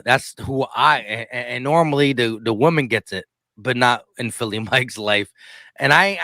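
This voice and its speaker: A man's voice: mid-range voice, sharp tone, no room sound, studio quality. A man in his thirties chatting casually as a sports show host, emphatic and given to repeating himself. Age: 30s. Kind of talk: casual chat.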